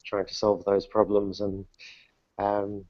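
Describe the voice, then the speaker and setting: A man's voice: on the low side, monotone, takes it slowly, no room sound. Man, thirties, from the UK, stop-start speech, dull dry remote audio. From New Zealand, grew up in the UK. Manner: hesitant, many restarts and fillers, self-deprecating. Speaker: guest entrepreneur. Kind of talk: casual interview answers. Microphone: remote call, dull lo-fi and dry.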